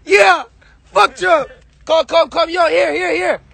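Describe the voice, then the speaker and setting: A young man live-streaming from inside a car, shouting hyped and profane, straining his voice, on a phone held at arm's length. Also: very high-pitched, slow, creaky. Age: young adult.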